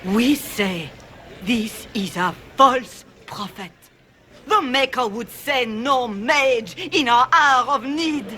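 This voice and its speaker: A woman's voice: a little high, takes it slowly, sharp tone. A woman playing a stern, self-righteous priestess in a fantasy video game, declaiming solemnly as if addressing the public. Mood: accusing. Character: stern self-righteous older chantry mother addressing a crowd. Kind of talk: dramatic public oratory. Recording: faint crowd and outdoor ambience noise.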